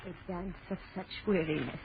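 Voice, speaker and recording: A woman's voice: lowish voice, gravelly. An actress playing a mysterious, weary old French woman, speaking in solemn riddles with a French accent in a radio horror drama. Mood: mournful, cryptic. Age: older. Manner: slow, cryptic, solemn pronouncements. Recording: muffled, hissy vintage radio broadcast.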